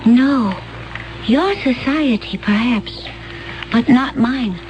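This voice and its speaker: An actress playing a calm, otherworldly young woman from another planet, speaking in a slow, measured, detached way, in a 1970s radio drama. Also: fairly high pitch, poor audio.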